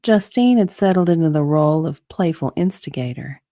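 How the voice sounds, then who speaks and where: A woman's voice: deep voice, expressive, normal speed, warm tone, no echo, clean studio sound. A woman narrating a romance story as a dramatic reading, in a sultry, suspenseful manner.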